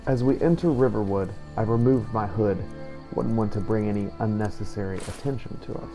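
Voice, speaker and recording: A man voicing a solemn, devout warrior who hunts the undead, narrating a game journal in measured, formal words. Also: fairly low pitch, music in the background, lightly acted. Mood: disdainful, resolute. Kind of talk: in-character journal narration over a video game. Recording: noticeable background noise.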